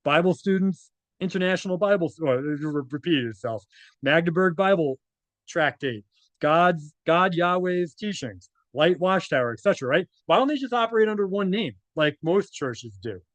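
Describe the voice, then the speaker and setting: A man's voice: fairly high pitch, lively intonation, normal speed, a bit bright, no room sound. A man reading documents aloud and adding informal, conversational off-the-cuff commentary, often checking in with tag questions. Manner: conversational, often tags statements with "right?".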